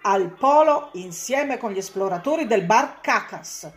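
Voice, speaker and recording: A woman's voice: mid-range voice, animated, measured pace, pitched above the performer's own voice, close to an everyday voice. A woman voicing a flustered, apologetic man who stammers and garbles his words in a comic storybook tale. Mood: stammering, discouraged. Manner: stammering, mangled words and misprints. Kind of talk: comic children's storybook character voice.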